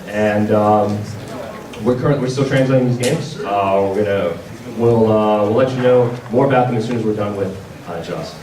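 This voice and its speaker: A man giving an informal panel presentation as a game publisher, speaking haltingly with stammered repeats. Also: fairly low pitch, a crowd in the background. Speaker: visual novel publisher presenting at a convention panel. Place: large indoor convention panel room. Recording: echoey, noisy convention room from far off.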